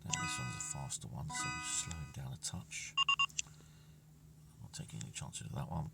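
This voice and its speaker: A man's voice: extremely low pitch, normal speed, gravelly. A man giving casual commentary on his own gameplay, muttering to himself and often trailing into near-whispers. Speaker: gamer narrating his own play. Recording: distant mic with music playing.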